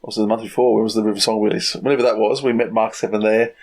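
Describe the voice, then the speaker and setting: A man co-hosting a podcast, chatting casually and haltingly as he searches for his words. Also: on the low side, little pitch movement, a little mellow, a little hiss or hum. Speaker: podcast co-host. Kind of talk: casual review chat.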